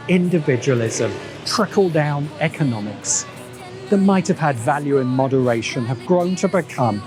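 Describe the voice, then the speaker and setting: A man narrating a scripted video essay in an emphatic, rhetorical way, with dramatic pauses. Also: a little high. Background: background music.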